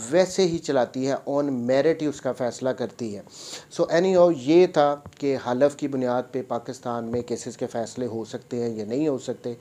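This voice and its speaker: A Pakistani man with a soft-edged, mellow voice at a middling pitch delivers a legal explainer. He speaks as an advocate teaching, restating each point in fresh words and dropping in English terms. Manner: didactic, repeats points in other words. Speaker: advocate presenting a law lecture.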